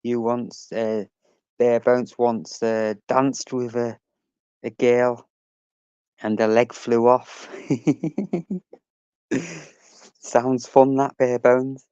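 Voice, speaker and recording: A man's voice: medium pitch, flat delivery, extremely slow, pauses often, a little mellow, smooth, dry recording, highs cut off. A man hosting a casual livestream, chatting and reading out messages from his chat, swearing now and then.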